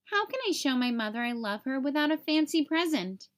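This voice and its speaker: Storybook young boy turtle read by a woman: high voice, sincere, full of questions. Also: sing-song, fairly quick. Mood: earnest, puzzled. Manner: earnest, questioning. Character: earnest little boy turtle in a picture book.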